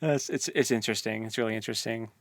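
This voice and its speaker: Man, book podcast co-host, mid pitch, dry close recording, laid-back casual chat. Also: fairly even intonation, quick pace, no room sound. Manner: laid-back, agreeable, laughs often.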